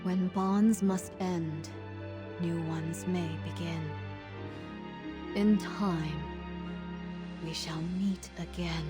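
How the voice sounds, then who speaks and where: A woman voicing a solemn narrator of an ancient myth in a video game, in a measured storybook style. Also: on the low side, fairly even intonation, over music. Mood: solemn, wistful.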